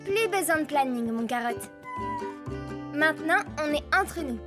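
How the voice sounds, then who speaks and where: A woman's voice: high voice, expressive, unhurried, music in the background. A woman voicing a bossy but caring, organised young schoolgirl in a French cartoon, firm and childlike. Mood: tender.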